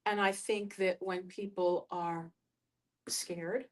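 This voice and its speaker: A woman facilitating a reflective discussion in a thoughtful, measured way, choosing her words with care. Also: fairly low pitch, fairly even intonation.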